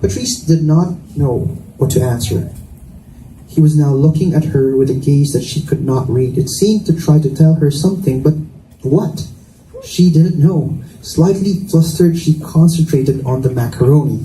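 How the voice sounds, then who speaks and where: A man reads a romance story aloud as narrator. His tone is very dark and soft-edged, pitched a little high, and it carries from a distance through an echoey room. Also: normal speed. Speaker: narrator, book reader. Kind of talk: live reading of romance fiction. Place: indoor school event room. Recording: echoey room, distant mic, faint noise.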